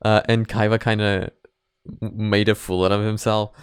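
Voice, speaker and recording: A man's voice: lowish voice, flat delivery, moderate pace, clean tone, no room sound, studio quality. A man giving casual, off-the-cuff reaction commentary, rambling and hesitant as he thinks aloud. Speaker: host of a reaction video.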